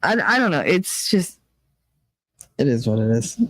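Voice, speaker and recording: A woman's voice: low voice, big pitch swings, a little slow, dry recording. Blunt, profane woman ranting her opinions. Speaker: vlog host. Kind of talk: casual opinion monologue.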